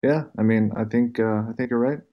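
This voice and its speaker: A man's voice: medium pitch, steady tone, a little fast, highs cut off. An easygoing man reading out questions and chatting casually as interviewer. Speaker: interviewer reading fan questions, team executive. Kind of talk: casual Q&A chat.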